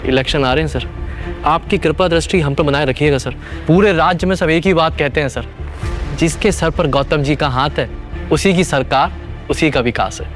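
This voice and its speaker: A man playing a fawning, deferential politician in an audio drama, full of flattery. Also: fairly high pitch, normal speed, soft background music, natural voice. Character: fawning male politician, chief minister. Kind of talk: Hindi audio drama acting.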